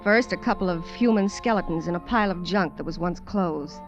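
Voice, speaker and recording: A woman's voice: fairly low pitch, a little fast, music in the background, close to an everyday voice. An actress in a 1950s radio drama, playing and narrating as a wry, streetwise, colloquial showgirl castaway. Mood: reflective, narrating. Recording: dull vintage radio recording.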